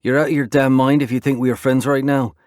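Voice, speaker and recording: Angry young fighter guy, thick accent, yelling and snarling, man doing the voice. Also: mid-range voice, little pitch movement, quick pace, no echo, studio quality, pitched above the performer's own voice, natural voice. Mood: furious. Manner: shouting, snarling.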